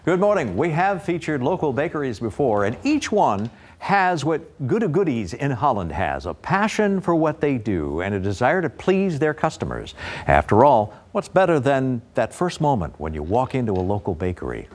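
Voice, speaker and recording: A man's voice: medium pitch, sing-song, normal speed. An older American man reading a scripted local TV news feature with polished presenter delivery. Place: TV news studio.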